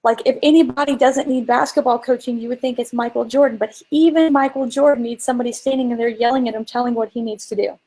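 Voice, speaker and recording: Lady chatting business coaching, highish voice, informal and emphatic. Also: steady tone, fast talker, hardly any pauses, mellow, rough recording. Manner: informal, emphatic, illustrates with examples. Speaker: co-host and marketing coach. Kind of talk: casual business coaching chat.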